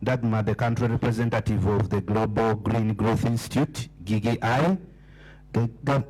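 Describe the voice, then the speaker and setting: A man's voice: on the low side, fairly even intonation, normal speed, darkish tone, husky, poor audio. A man moderating a formal meeting, thanking speakers and announcing the next agenda items in a courteous manner.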